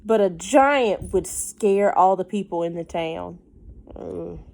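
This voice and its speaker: A woman's voice: lowish voice, slow pace, vocal fry, darkish tone. A woman reading a picture book aloud to young children like a teacher, breaking off for casual asides, simple explanations and questions.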